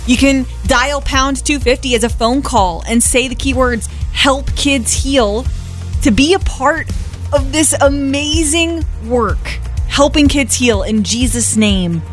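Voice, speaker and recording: A woman's voice: sits high, slow, soft background music. A woman hosting a radio fundraising appeal, urging listeners in a persuasive, urgent way. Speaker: radio host.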